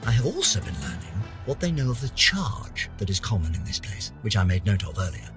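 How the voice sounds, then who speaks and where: An aging British scientist, pompous and obsessive, in a theatrical video game journal. His voice sits fairly low but is forceful and keen-edged, with very lively swings in pitch. The delivery is florid, indignant and full of self-importance. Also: fairly quick, soft background music. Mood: fascinated. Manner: pompous, haughty, florid, melodramatic.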